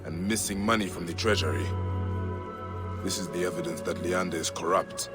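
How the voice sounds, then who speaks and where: An actor playing a calm, dry, righteous Egyptian warrior in a video game. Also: male voice, sits low, brisk, lightly acted. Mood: resolute. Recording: loud music behind voice.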